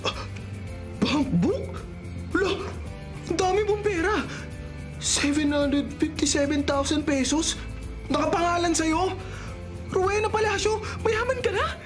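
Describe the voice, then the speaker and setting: A Filipino man playing a nosy, excitable friend in a radio drama. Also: very high voice, measured pace, pauses often, music in the background. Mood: amazed.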